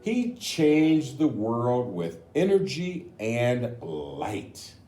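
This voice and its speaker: A man reading a picture book aloud to children, gently explaining with chatty asides in a roomy, echoing recording. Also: mid-range voice, huge pitch swings, very slow, faint music behind. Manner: gentle, explanatory, addressing young listeners.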